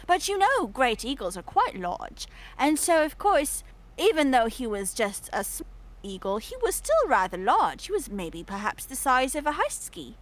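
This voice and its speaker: A woman's voice: sits high, sing-song, normal speed. A woman chatting casually on a livestream, effusive and theatrical, putting on a British accent with old-fashioned formal phrasing. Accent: put-on British.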